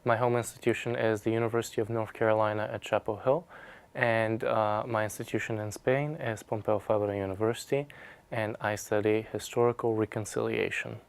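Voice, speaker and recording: A man's voice: on the low side, a little fast, gravelly, clean tone. A young man from Bulgaria giving a personal testimonial in articulate, formal academic language. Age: young adult. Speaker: research scholar, graduate student. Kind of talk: prepared personal testimonial to camera.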